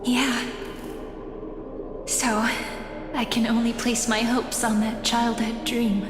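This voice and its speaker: A woman playing a playful, tender young heroine in a video game, speaking in a gentle, dreamy, unhurried way. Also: on the high side, level tone. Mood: solemn, resolute. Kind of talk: dramatic video game voice acting. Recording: echoey long reverb, music behind.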